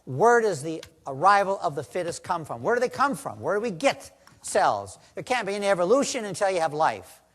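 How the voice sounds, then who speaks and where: A man lecturing in a recorded talk, his pitch swinging up and down a great deal. He preaches at the audience and keeps firing off rhetorical questions. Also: sing-song, a little fast, fairly bright tone. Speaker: lecturer in a played video.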